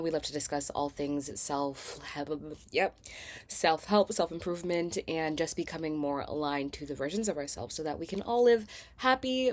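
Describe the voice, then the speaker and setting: Young woman, low pitch, mildly congested, candid self-help vlogger, conversational, emphatic, casual confessional delivery. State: slightly congested from a cold. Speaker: vlog host and talk show producer.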